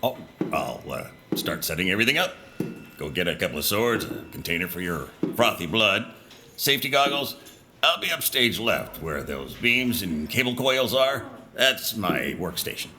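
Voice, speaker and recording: A man's voice: lowish voice, sing-song, normal speed, pushed and bright, exaggerated voice. A man playing a modest, careful circus prop maker in an audio drama, talking in a self-effacing, hesitant, rambling way. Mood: flustered, eager.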